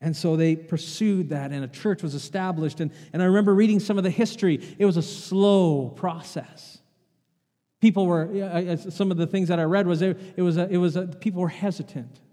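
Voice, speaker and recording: A man's voice: high voice, a little fast, dark tone. A man preaching a sermon as pastor, chatting with the congregation and throwing out rhetorical questions. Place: church sanctuary. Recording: long hall reverb in a church.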